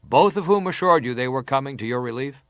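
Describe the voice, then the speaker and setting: An actor playing a formal, persistent senator who questions a witness deliberately in a dramatised hearing. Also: male voice, medium pitch, expressive, fairly bright tone, no echo, clean studio sound, close to an everyday voice. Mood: probing. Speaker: interrogator at a hearing. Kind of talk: dramatised reading of hearing testimony.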